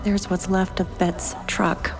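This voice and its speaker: Grave townswoman, medium pitch, slow measured delivery, serious, faint music behind, audio drama. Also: level tone. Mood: somber.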